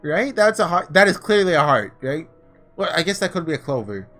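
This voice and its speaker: A man's voice: a little high, big pitch swings, brisk, fairly bright tone. A man doing casual let's-play commentary, thinking aloud as he reads through a visual novel. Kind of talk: casual gameplay commentary mixed with read-aloud dialogue. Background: background music.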